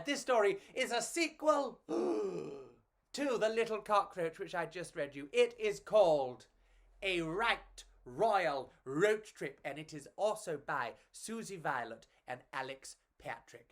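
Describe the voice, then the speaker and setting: A woman's voice: fairly low pitch, expressive, unhurried. A woman reading and chatting to children as a storyteller, in a theatrical, gushing and affectionate way.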